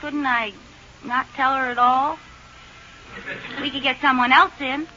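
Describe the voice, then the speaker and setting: An actress playing a scatterbrained, demanding but timid American housewife in 1940s radio comedy, theatrical and exasperated, on an old recording. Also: female voice, high voice, fairly even intonation, measured pace, bright, forceful tone, lo-fi sound, a little hiss or hum. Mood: pleading.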